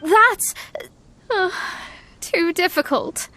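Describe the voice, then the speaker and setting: A woman's voice: extremely high pitch, animated, drawn-out words, bright, forceful tone, exaggerated voice. An actress playing a proud, easily flustered young female astrologer in a video game, with haughty, formal phrasing and awkward hesitations. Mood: flustered, dejected. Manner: haughty, hesitant when embarrassed, formal wording. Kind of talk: animated game voice acting.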